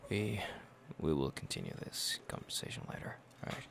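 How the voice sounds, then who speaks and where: A man acting a flustered, jealous young best friend in an intimate roleplay, with halting, stammering speech and sighs. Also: very deep voice, vocal fry, a bit bright, a little hiss or hum, exaggerated voice. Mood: tense, subdued. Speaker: roleplay audio performer.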